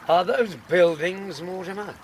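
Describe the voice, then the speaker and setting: An actor playing a loyal, cautious gentleman doctor in a radio drama, speaking in a formal, courteous, measured way. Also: sits high. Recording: outdoor ambience, water and vehicle noise.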